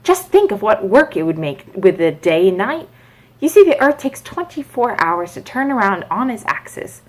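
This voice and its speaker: A polite, curious little girl from a storybook, voiced by a woman. She speaks earnestly and properly, with pitch that rises and falls a great deal. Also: very animated, normal speed. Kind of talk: children's storybook reading.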